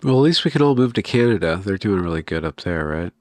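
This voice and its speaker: A man's voice: deep voice, animated, quick pace, darkish tone, no room sound. A man hosting an interview, talking in a laid-back, wry way over a video call with plenty of fillers. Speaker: interview host. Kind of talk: casual interview chat.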